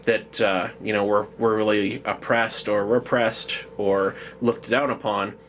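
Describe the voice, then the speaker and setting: A man's voice: fairly low pitch, little pitch movement, a little slow, a bit bright, slight background noise. A man co-hosting a casual discussion podcast, talking in a hesitant way as he thinks aloud. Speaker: podcast co-host. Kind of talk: casual discussion, at times reading out a quote.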